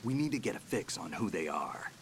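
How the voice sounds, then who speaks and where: An actor playing a gruff, world-weary American covert operative in a video game, with gravelly, terse, wary delivery. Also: male voice, sits low, very animated, fairly quick, background noise. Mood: tense, focused. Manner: terse, dry, military clipped delivery. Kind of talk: video game cutscene acting.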